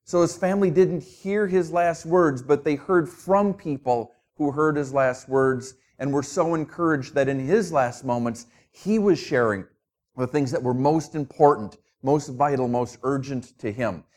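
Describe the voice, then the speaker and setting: Middle-aged preacher, Jersey-tinged, easygoing. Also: male voice, a little high, unhurried, darkish tone. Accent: New Jersey. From Minnesota. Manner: conversational, self-joking. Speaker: guest pastor. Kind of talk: sermon.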